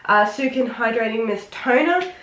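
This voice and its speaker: A woman with a head cold, sounding nasal and sniffly, chatting excitedly through a casual makeup tutorial. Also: fairly high pitch, normal speed. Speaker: beauty vlogger. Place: home room. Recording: roomy, echoey room.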